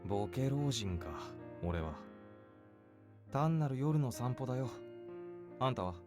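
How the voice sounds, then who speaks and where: A man voicing a laconic young soldier in a Japanese visual novel, curt, cool and deadpan. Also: mid-range voice, moderate pace, music in the background, natural voice. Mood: dry.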